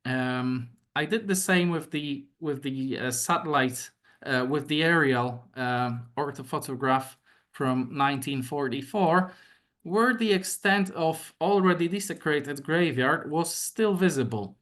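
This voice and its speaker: Slow, halting man with a Polish accent. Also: fairly high pitch, a bit bright. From Poland. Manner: hesitant, many fillers and repeated words. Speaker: archaeologist giving a seminar talk. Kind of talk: online academic lecture.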